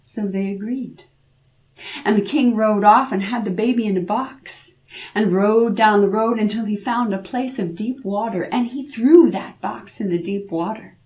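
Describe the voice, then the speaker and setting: A woman's voice: mid-range voice, a little slow, darkish tone. A woman storyteller narrating a folk tale dramatically, drawing out words and repeating phrases for effect.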